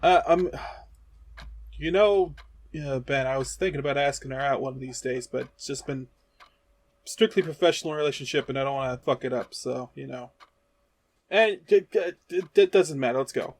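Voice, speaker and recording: A man playing an informal, slightly rough-edged investigator's partner who speaks in a halting, hesitant way. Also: mid-range voice, natural voice. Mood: sheepish.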